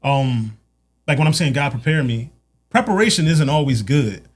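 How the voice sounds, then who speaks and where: An American man in his late thirties hosting a casual podcast, talking in a halting, repetitive way peppered with "like" and "man". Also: mid-range voice, lively intonation, breathy edge. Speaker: podcast host. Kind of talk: casual conversational interview.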